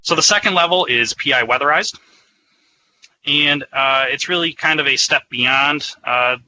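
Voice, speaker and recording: A man's voice: medium pitch, normal speed, bright, forceful tone, muffled recording, a little hiss or hum. A man giving an explanatory, conversational product presentation in a semi-scripted webinar style.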